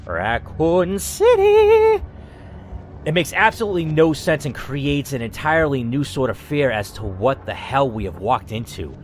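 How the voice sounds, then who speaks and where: A man hosting a dramatic story reading, who reacts out loud and swears as he weighs each choice. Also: medium pitch, wildly swooping pitch, measured pace, a little hiss or hum. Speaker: host reading an interactive story.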